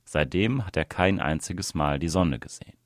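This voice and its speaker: A man's voice: low voice, moderate pace, no room sound, studio quality. A man reading a written reportage aloud as scripted narration. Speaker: reader of an audio article.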